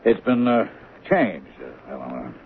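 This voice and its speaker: An actor playing a smooth-talking but evasive, hesitant soap-opera studio boss in a radio drama. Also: male voice, very deep voice, very animated, exaggerated voice. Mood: awkward, evasive. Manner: hesitant, halting. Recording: tinny, noisy 1970s radio recording.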